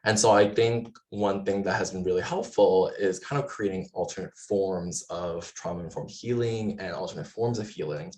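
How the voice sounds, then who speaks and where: Low-voiced man speaking casually on a panel. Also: normal speed, hardly any pauses, darkish tone. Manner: hesitant phrasing with frequent hedging. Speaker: panelist, violence prevention advocate. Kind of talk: informal panel discussion.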